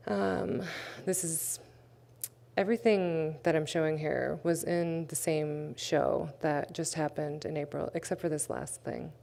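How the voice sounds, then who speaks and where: Low, gravelly-voiced woman artist. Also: mellow. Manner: hesitant, many fillers, casual. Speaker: artist presenting her work. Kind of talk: livestream artist talk.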